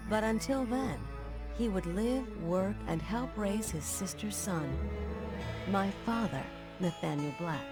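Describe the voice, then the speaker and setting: A woman plays a frontier storyteller narrating a family saga in a game. Her mid-pitched voice is measured and reflective, with music clearly behind it. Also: lively intonation, close to an everyday voice. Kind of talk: scripted narration for game cutscenes.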